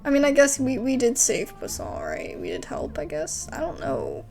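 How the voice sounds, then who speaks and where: A woman's voice: on the high side, wildly swooping pitch, normal speed, vocal fry. A woman giving casual gameplay commentary in an informal, slangy way, as a gaming creator. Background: game music.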